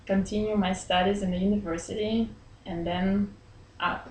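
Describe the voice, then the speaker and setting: Woman, 30s, from Belarus, friendly, laughs often, pauses for words, small office, webcam, roomy echo. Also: mid-range voice, fairly even intonation, darkish tone. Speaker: interviewee. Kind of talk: casual interview chat. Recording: roomy echo, faint room hum.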